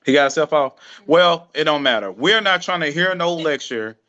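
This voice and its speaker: A man's voice: fairly high pitch, expressive, normal speed, bright, forceful tone, dull audio. A male host in a casual live-stream chat who talks in a blunt, dismissive, slangy way.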